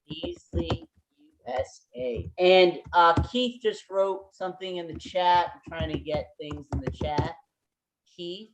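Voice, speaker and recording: A woman running an online meeting over a video call, speaking slowly. She talks in a chatty, halting way, full of ums and restarts. Her voice has a roomy echo. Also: fairly low pitch, gravelly, background noise. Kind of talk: casual video-call facilitation.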